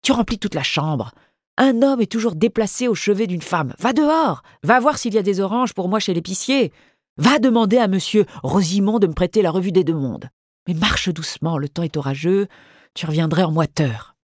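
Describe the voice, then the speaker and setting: Reader voicing a sharp-witted ailing older mother. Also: medium pitch, lively intonation, normal speed, no echo, clean studio sound, voice pushed up, close to an everyday voice. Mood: fretful. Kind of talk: literary audiobook reading.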